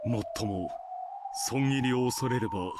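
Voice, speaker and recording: A man's voice: medium pitch, fast talker, natural voice. A voice actor playing a dry, self-assured, pragmatic businessman in a Japanese mystery game. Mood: pragmatic, resolute. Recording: noisy game audio played on a stream.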